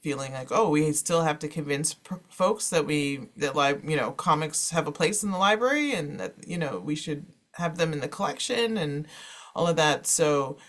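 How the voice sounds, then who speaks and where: A man's voice: a little high, expressive, moderate pace, fairly bright tone. A man moderating an informal panel presentation, talking in a discursive way peppered with "you know".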